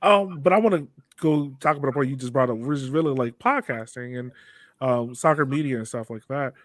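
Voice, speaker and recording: A man's voice: mid-range voice, brisk, a bit bright, no room sound, studio quality. A man hosting a podcast, asking questions in a casual, conversational way. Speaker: podcast host, interviewer.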